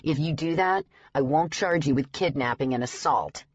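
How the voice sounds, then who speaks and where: A woman reading a blunt, steady protective man. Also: deep voice, moderate pace, no echo, lo-fi sound. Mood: firm. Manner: flat, unwavering, blunt.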